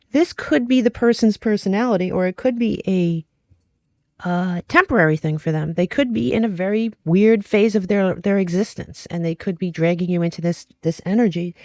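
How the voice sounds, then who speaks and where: Female tarot host, mellow tone, close dry sound, informal and blunt delivery with frequent check-ins. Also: medium pitch, no room sound. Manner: direct, informal, mildly salty, frequent check-in questions. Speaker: tarot reader and astrology host. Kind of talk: casual conversational tarot reading.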